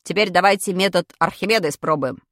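A woman's voice: on the low side, quick pace, a bit bright, no echo, studio quality, voice pushed down, natural voice. A woman voicing a brash schoolboy schemer in a children's story, insistent and self-justifying. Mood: eager, commanding. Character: brash, clumsy, excuse-making schoolboy schemer.

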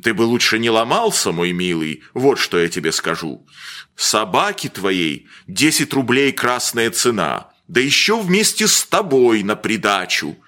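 Man playing a stout pompous doctor, deep drawling bass, condescending and threatening. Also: very animated, measured pace, sharp tone, exaggerated voice. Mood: bullying. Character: fat pompous gentleman doctor, bullying.

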